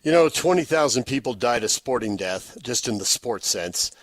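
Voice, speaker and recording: A man's voice: medium pitch, lively intonation, moderate pace, dry recording. A man hosting a sports radio interview, laying out long, structured questions point by point.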